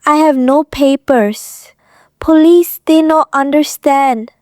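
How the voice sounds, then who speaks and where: An actress playing a frightened, guarded young woman with a thick foreign accent, speaking halting broken English in an audio drama. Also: high voice, darkish tone, no room sound, studio quality. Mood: ashamed, anxious. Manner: halting, broken English in short clipped phrases.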